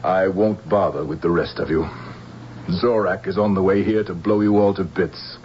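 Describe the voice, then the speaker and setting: A man's voice: low voice, fairly even intonation, normal speed, natural voice. A man playing a jealous, ruthless military general, threatening and theatrical in old radio drama style. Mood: smug, menacing. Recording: dull, faintly hissy old radio recording.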